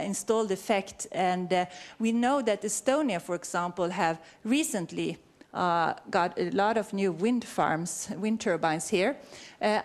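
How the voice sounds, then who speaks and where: A middle-aged woman with a Swedish accent speaks at a medium pitch as a researcher presenting at a conference. Her English is halting and informal, with frequent self-corrections as she searches for the right phrase. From Sweden. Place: conference venue, speaking live to an audience.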